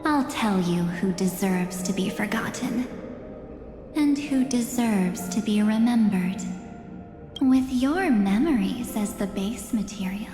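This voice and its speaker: An actress playing a menacing, seductive keeper of memories in a video game, grandiose and deliberate, with breathy phrase endings. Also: female voice, medium pitch, natural voice. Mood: solemn, resolute. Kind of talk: theatrical video game voice acting. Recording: echoey long reverb under game music.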